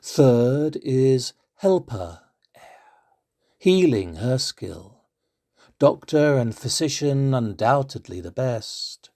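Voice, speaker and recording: A man from London with an English accent, reciting poetry as a deliberate storyteller with weighted pauses. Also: medium pitch, big pitch swings, dark tone. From London, England. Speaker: poet and actor.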